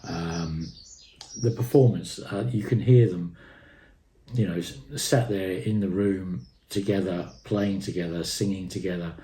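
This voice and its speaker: A man's voice: sits low, fairly even intonation, measured pace, mellow, a little hiss or hum. A man giving an enthusiastic, unscripted review as a monologue, effusive and emphatic, often repeating words to stress them. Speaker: music and tape reviewer. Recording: echoey room sound.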